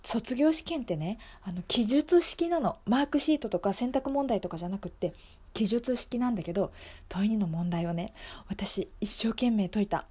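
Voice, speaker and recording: A woman's voice: mid-range voice, expressive, moderate pace, a little mellow, slightly rough. A woman playing an earnest young female schoolteacher in an improvised radio monologue, telling her story in a confiding, emphatic way. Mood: desperate, animated.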